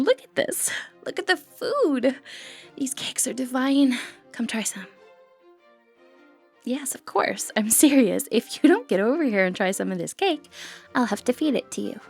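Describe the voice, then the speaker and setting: Woman acting a flirtatious high-voiced lady thief. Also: vocal fry, a little mellow, breathy edge, exaggerated voice. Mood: playful, flirtatious. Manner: playful, teasing, genteel old-fashioned phrasing. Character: flirtatious, confident lady thief of noble upbringing. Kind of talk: ASMR fantasy romance roleplay acting. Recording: background music under voice.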